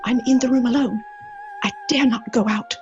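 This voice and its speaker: Woman as high-voiced, fragile young gentlewoman. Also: fairly even intonation, moderate pace, highs cut off, over music, lightly acted. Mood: fearful. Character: frightened, fragile young gentlewoman, lovelorn victim. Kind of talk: gothic horror audio drama.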